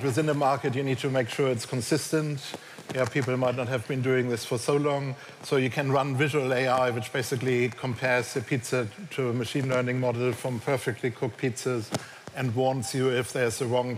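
A man with a German accent, a guest on a conference stage panel, explaining his work calmly through a headset mic. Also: mid-range voice, a little hiss or hum. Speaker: company tech executive guest. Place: large conference stage before an audience.

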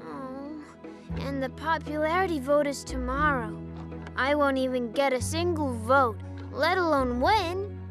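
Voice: sits high, unhurried, music in the background. An actor voicing an earnest, sweet and insecure little boy mascot in a children's cartoon. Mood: downcast. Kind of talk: children's animated series dubbing.

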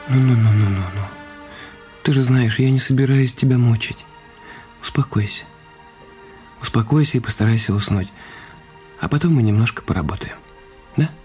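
A man acting in a radio drama as an anxious, tender husband nursing his ailing wife, speaking haltingly and coaxingly. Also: mid-range voice, darkish tone, faint music behind, natural voice. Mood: soothing.

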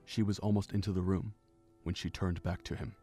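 A man with a low voice narrates a scripted story. Faint music plays behind his reading. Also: little pitch movement, a little fast, warm tone.

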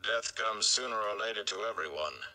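Guy doing a grim fantasy warrior guard, blunt, scornful, hard forceful voice. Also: fairly low pitch, expressive, moderate pace, gravelly, smooth, full character voice. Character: grim, protective male warrior bodyguard.

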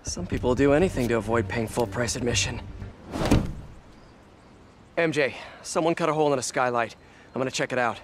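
A young man with an American accent playing a wry, quick-witted superhero in a video game, conversational and slightly awkward. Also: mid-range voice, background noise, close to an everyday voice. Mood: wry, focused. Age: young adult. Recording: game music behind the voice.

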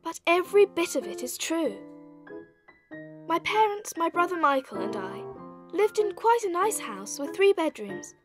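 High-voiced girl narrator. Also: very animated, measured pace, full character voice. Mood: reflective. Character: thoughtful girl heroine narrating her own childhood. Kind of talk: scripted first-person storybook narration. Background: piano music.

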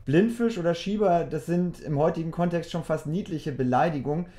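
Male presenter, slightly high voice, articulate and formal, delivering prepared interview questions. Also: brisk, mellow.

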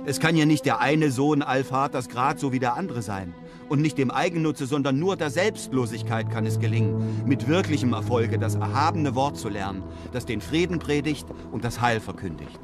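An actor playing an earnest, humble traveller and narrator in a literary radio drama, speaking in a measured way. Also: male voice, mid-range voice, expressive, loud music behind the voice, close to an everyday voice.

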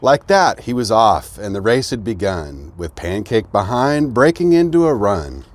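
A middle-aged American man reading a children's rhyming storybook aloud in an expressive storytelling manner. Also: lowish voice, a little slow. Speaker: fire chief, guest reader. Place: outdoors beside an open fire truck.

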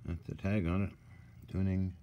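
A man giving a casual, hands-on walkthrough of equipment in a halting, muttering way with folksy asides, recorded on a handheld device in a workshop. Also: low voice, level tone, dull audio. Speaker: radio restorer. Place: indoor cluttered workshop. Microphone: handheld camera or phone, behind the lens. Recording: noisy handheld recording with room ambience.

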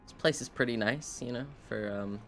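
A man's voice: medium pitch, moderate pace, fairly bright tone, faint music behind. A man casually commentating on a game live, with playful, chatty asides to his viewers. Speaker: game streamer. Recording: clear background noise. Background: video game sound.

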